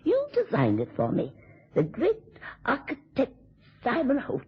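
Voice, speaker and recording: Husky, drunken, spiteful wife. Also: female voice, high voice, wildly swooping pitch, a little slow, heavily acted. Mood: tipsy, mocking. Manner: theatrical mocking drawl, sarcastic endearments. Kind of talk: radio drama acting. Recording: dull, lo-fi old radio recording.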